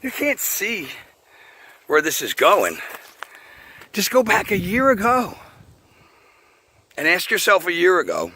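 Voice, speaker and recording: Raspy-voiced man rambling outdoors. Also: high-pitched, huge pitch swings, slow, pauses often, sharp tone, slight background noise. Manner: rambling, laughing often, swearing. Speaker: vlogger. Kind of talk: casual outdoor video monologue. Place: outdoors by a path.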